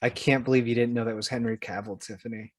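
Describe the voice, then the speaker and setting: Male podcast co-host, mid-pitched voice, informal and teasing conversational delivery. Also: fairly even intonation, quick pace. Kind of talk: casual pop culture chat.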